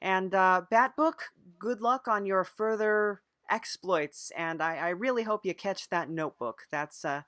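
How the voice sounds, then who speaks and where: A woman's voice: medium pitch, a little slow, few pauses, fairly bright tone. A woman hosting a podcast, chatting casually and playfully with a mock-earnest manner. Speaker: podcast host. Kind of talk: casual improvised interview and show chat.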